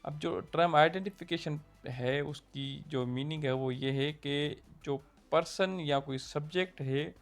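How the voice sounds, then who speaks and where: Man with a gravelly voice, teaching law. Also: a little high, unhurried, hardly any pauses, faint music behind. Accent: Pakistani Urdu. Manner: conversational, checks in with "theek hai". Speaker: law lecturer. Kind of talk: explanatory legal lesson.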